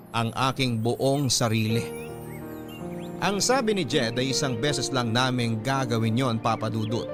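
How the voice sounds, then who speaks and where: A Filipino man tells a love story in the manner of a radio host reading a letter. His voice is medium in pitch, with measured, dramatic phrasing and pauses. Loud music plays behind him throughout. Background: prominent music.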